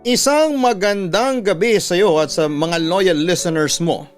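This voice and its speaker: A man's voice: high voice, unhurried. A Filipino man, a radio announcer, reading out a confessional letter as a dramatic storyteller. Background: background music.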